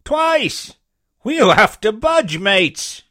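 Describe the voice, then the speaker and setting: Man putting on a shrill, shouting pirate henchman. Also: very animated, drawn-out words, full character voice. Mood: alarmed. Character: excitable pirate henchman, rough sailor calling out. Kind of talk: audiobook character voice.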